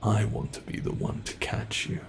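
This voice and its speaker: A man's voice: a little hiss or hum, lightly acted. A man voicing a gleeful, predatory monster from under the bed in a horror ASMR roleplay, theatrical and taunting as he addresses the listener. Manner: theatrical, taunting, slow and relishing.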